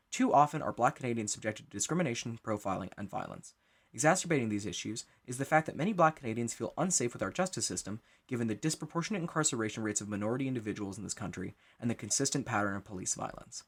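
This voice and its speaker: A man with a husky, mid-pitched voice reads a formal scripted announcement. He moves along briskly, with lively rises and falls in his intonation. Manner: formal, clearly scripted delivery.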